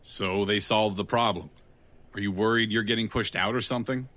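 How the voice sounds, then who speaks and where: A man's voice: lowish voice, steady tone, normal speed, bright, forceful tone, dry recording. An actor playing a wry, unafraid tough guy in an audio drama, speaking in a dry, blunt, sardonic way. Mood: wry, probing. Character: wry, unafraid, plain-spoken male tough guy with a kind streak.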